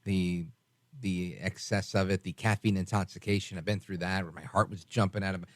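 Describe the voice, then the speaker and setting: A man's voice: sits low, fairly even intonation, moderate pace, no room sound. Dry-voiced male radio host in his forties. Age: 40s. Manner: casual, chatty, trailing restarts. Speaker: radio talk show host. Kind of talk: late-night call-in radio talk.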